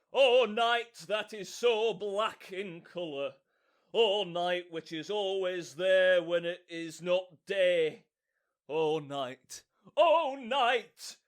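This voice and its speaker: A man's voice: high voice, fairly bright tone, heavily acted. A man playing a melodramatic amateur actor who hams up a tragic lover in an overwrought audio drama style. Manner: overwrought, drawn-out. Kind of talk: theatrical audio drama acting.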